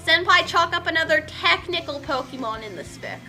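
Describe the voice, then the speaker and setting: A woman casually reading fan fiction aloud and chatting, rambling excitably and stumbling over words. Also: very high voice, fairly quick, soft background music.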